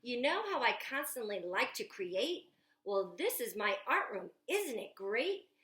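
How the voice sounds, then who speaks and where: An imaginative young boy proudly leads a tour of his invented house, as a woman reads him aloud. The storybook rhymes bounce along slowly, high and swooping. The sound rings in an echoey room. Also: big pitch swings, pushed and bright, a little hiss or hum, exaggerated voice. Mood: proud, excited. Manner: bouncy rhyming read-aloud, stretched words.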